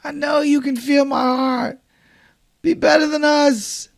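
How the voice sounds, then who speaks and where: A man voicing a desperate old woman who pleads with a monster, rising to shouts. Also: very high-pitched, flat delivery, measured pace, creaky, pitched above the performer's own voice, heavily acted. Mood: desperate pleading.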